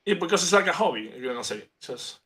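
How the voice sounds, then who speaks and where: A man with an Argentinian accent chatting as a guest artist, halting and restarting as he searches for English words. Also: a little high, big pitch swings, sharp tone. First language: Spanish. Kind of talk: casual chat.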